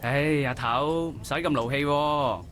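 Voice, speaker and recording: An actor playing an easygoing male police officer in a game, placating and relaxed. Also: fairly high pitch, unhurried.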